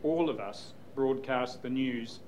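A man in a question session, answering at length in a measured way with frequent pauses and ums. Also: medium pitch, darkish tone, slight background noise, low-quality recording. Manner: measured, hesitant with many ums. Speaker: news executive answering questions. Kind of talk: Q&A panel talk.

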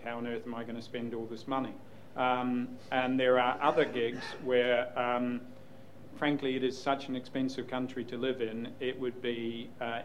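Brief: male news executive, flat even delivery, careful and hesitant, faint room noise. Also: medium pitch, low-quality recording. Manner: measured, hesitant with many ums. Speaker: news executive answering questions. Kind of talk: Q&A panel talk.

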